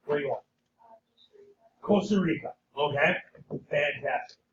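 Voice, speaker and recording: Hoarse male teacher in a roomy classroom. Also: on the high side, measured pace, a little hiss or hum. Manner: frequent "okay?" check-ins with students. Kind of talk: classroom lecture. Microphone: camera at a distance. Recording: roomy, distant, dull classroom sound.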